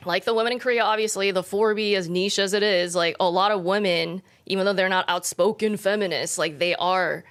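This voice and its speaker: A woman's voice: medium pitch, brisk, few pauses, a bit bright. A woman from Michigan co-hosting a podcast, chatting casually with many "like" fillers, swearing freely and dropping Korean phrases into her English. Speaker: podcast co-host. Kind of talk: casual chat.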